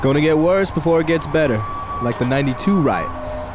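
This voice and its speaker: Man acting terse cautious guy, fairly high pitch, grim, radio drama, outdoor noise. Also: a little fast, close to an everyday voice. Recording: clear outdoor city noise.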